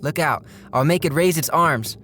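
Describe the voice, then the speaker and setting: Terse, obsessed inventor. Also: male voice, on the high side, normal speed, bright, forceful tone, a little hiss or hum. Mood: proud. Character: obsessed, stubborn, proud amateur robot builder named Dan. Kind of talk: audio drama acting.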